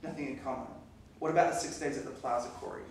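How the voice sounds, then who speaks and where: An actor playing a wry, deadpan young lawyer husband who wants to go to bed, growing exasperated, in classic film acting. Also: male voice, mid-range voice, rapid-fire, a little hiss or hum, close to an everyday voice. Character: young husband, dry, sleepy, unflappable lawyer. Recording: echoey film soundtrack from a distance.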